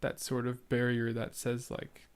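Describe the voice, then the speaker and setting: A man's voice: mid-range voice, completely flat delivery, clean tone. A young man giving casual, earnest reaction commentary as a podcast host, stumbling and repeating words now and then. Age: young adult. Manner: halting, stuttering, repeats words.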